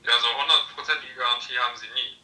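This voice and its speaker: A very bright, hard-edged male voice comes from a phone speaker, distant in the room. He is a salesman advising a customer, polite, factual and a bit hesitant. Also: medium pitch, steady tone, fast talker, roomy sound. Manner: matter-of-fact, polite, hesitant. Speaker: sex shop salesman. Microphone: phone loudspeaker picked up in room.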